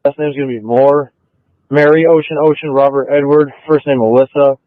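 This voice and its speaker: A male police officer calling in over scanner radio, spelling names in phonetic code in a flat, routine way. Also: medium pitch, moderate pace, dry recording, phone-quality sound.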